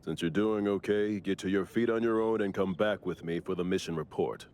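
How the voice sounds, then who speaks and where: A man's voice: deep voice, a little fast, a little mellow, close to an everyday voice. A man playing a stern, matter-of-fact squad member in an anime video game, dry and unimpressed. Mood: dry, businesslike.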